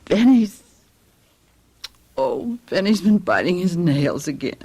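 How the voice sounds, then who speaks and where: An actress playing an anxious, grieving middle-aged American mother in a radio drama, speaking softly and hesitantly. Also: fairly low pitch, big pitch swings, breathy edge, full character voice. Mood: tender, sorrowful. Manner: hesitant, halting.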